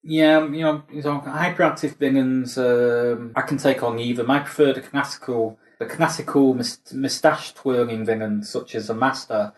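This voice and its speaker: An echoey recording of a British man in loose, casual conversation. His delivery is halting, with words and phrases repeated as he works toward his point. His pitch sits in the middle of the male range. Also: fairly even intonation. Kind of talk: casual fan chat. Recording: echoey room sound.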